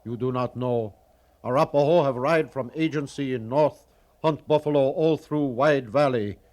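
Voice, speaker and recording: An actor playing a proud, distrustful Arapaho leader in an old-time radio western, speaking solemn, terse broken English. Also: male voice, medium pitch, normal speed, a little mellow, close to an everyday voice. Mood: accusing. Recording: vintage radio drama recording.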